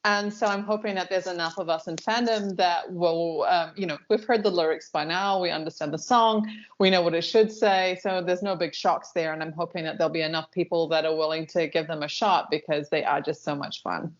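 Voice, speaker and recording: A woman's voice: fairly low pitch, steady tone, fairly quick. A woman giving her opinions as a panelist in a casual chat, on a dull lo-fi recording. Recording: dull, lo-fi band-limited sound.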